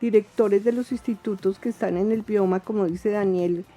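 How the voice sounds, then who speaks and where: A woman's voice: medium pitch, steady tone, moderate pace, warm tone. A woman, an institute director and guest in a radio interview, who explains things thoughtfully.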